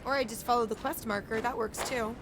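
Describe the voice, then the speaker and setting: A woman's voice: on the high side, steady tone, quick pace, rough recording. A young woman giving casual, playful, chatty live commentary as she plays a video game. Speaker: game streamer. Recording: loud game music behind voice. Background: video game music and combat sounds.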